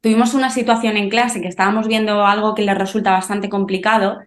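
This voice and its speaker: A woman's voice: mid-range voice, fast, roomy sound. A woman from Madrid with a Madrid Spanish accent, an English teacher telling classroom stories as a podcast guest, often restarting her sentences. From Madrid, Spain. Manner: animated storyteller with frequent restarts. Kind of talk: casual podcast conversation.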